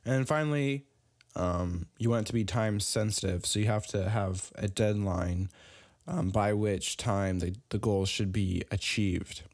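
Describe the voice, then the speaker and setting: A young adult man giving a casual online business lesson, halting often with fillers and restarts. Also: fairly low pitch, no echo, studio quality. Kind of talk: casual instructional talk.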